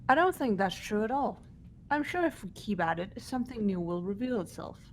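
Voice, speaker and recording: A woman's voice: mid-range voice, very animated, brisk, slight background noise, close to an everyday voice. A woman voicing a composed, rational, formal student in an amateur video game dub.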